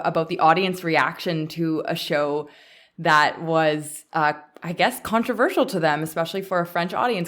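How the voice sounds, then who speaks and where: A woman's voice: on the low side, fairly bright tone. A woman hosting a podcast in a conversational interview style. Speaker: podcast host.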